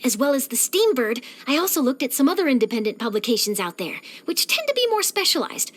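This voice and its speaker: A woman voicing an eager, nosy young female journalist in a video game, chatty, theatrical and expressive. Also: sits high, fast talker. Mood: earnest, informative. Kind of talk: animated game character voice lines.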